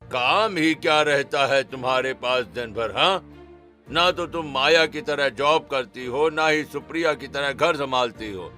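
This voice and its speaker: A man's voice: mid-range voice, measured pace, pushed and bright, soft background music. A man playing a stern, taunting father-in-law in a cartoon story, reproachful toward his daughter-in-law.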